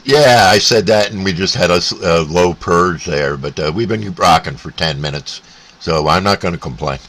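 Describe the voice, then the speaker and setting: A North American man chatting casually as a CB radio operator, in a halting, laid-back way. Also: a bit bright. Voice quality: deep. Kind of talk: casual two-way radio chat. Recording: faint static and radio hiss.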